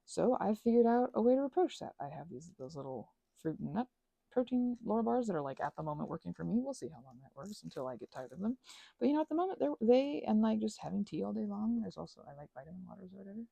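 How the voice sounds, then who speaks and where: A woman's voice: medium pitch, very animated, fast talker, gravelly, warm tone, husky, no echo, low-quality recording. A woman chatting informally to her listeners in a rambling, meandering way, drifting into asides and offering casual encouragement. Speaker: ASMR and audio channel creator.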